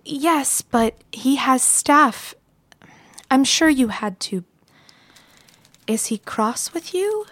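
Woman acting high-voiced, genteel, chatty bride-to-be. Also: animated, very slow, pauses often, a bit bright, dry recording, full character voice. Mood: startled, tactful. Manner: chatty, hesitant, giggly asides. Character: chatty, genteel bride-to-be, kindly office confidante. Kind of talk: period office radio drama acting.

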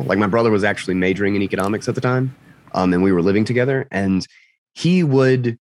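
A man with a lowish voice talks as an interview guest. He sounds a little congested, as though getting his voice back. His explanations are casual and halting, worked out as he speaks. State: slightly congested, recovering from lost voice.

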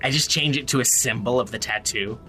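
Audio drama role: actor as tired, wisecracking young man on the run, sharp tone, expressive, casual and sardonic, light music beneath. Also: mid-range voice, a little fast, lightly acted. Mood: playful. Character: tired, sardonic, joking young fugitive from family. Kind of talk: naturalistic audio drama acting.

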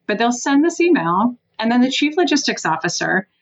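Swiss-born woman coaching on sales, punchy and animated, a bit dull and lo-fi. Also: a little high, brisk. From Switzerland. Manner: emphatic, animated, casually profane. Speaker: sales consultant guest. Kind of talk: energetic advice-giving interview talk.

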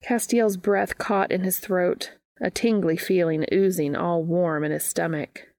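Low, creaky-voiced woman reading a story. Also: fairly even intonation, measured pace, darkish tone, no room sound. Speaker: podcast host and reader. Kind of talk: scripted audiobook-style narration of fan fiction.

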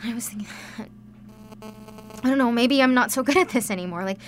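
A woman's voice: on the high side, normal speed, slight background noise, close to an everyday voice. A woman playing a frustrated, self-doubting teenage girl mechanic in a radio drama, sardonic and hesitant. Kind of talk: naturalistic radio drama acting.

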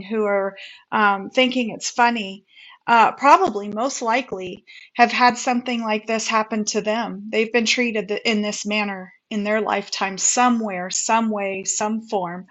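A woman's voice: on the high side, a bit bright, dull audio. A woman guest on a faith chat, quoting scripture and speaking with hesitations. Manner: hesitant, many fillers and restarts, quotes scripture. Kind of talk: faith-based casual discussion.

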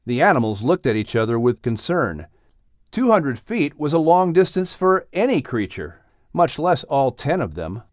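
A man announcing and narrating a children's audiobook in an animated scripted storytelling style. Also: fairly high pitch, moderate pace, no echo, clean studio sound.

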